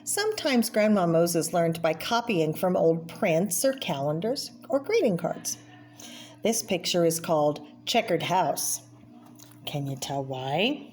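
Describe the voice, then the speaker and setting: Slow-paced woman reading a children's book aloud. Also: on the low side, very animated, a little mellow. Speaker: reader of a children's art book. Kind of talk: read-aloud narration with brief personal asides. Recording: clear background noise, homemade read-aloud video.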